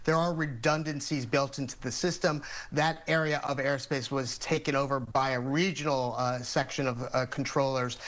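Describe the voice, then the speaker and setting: An American man speaking as an expert guest in a TV news interview, explaining things in a measured, methodical way. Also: mid-range voice, steady tone, a bit bright.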